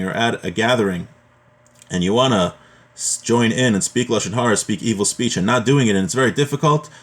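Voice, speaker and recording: A man giving an informal religious lesson as a teacher, explaining in a conversational way. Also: medium pitch. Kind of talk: informal religious lesson, reading Hebrew text then translating and explaining.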